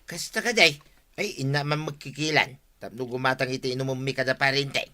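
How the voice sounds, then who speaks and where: A male actor playing an excitable, envious villager who stammers and exclaims, in a radio comedy drama. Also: mid-range voice, brisk, close to an everyday voice. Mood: curious.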